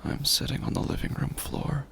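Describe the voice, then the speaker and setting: An actor playing a grieving, isolated, unhinged man in a first-person horror audio drama, breathy and gravelly, often whispering, with a halting, repetitive delivery. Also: fairly bright tone, close to an everyday voice. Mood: hushed, numb.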